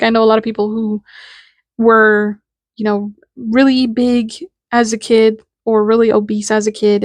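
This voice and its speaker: A woman's voice: fairly high pitch, no echo, clean studio sound. A woman in her twenties with a nasal voice, talking in a casual, rambling and candid monologue as the host. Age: 20s. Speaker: podcast host.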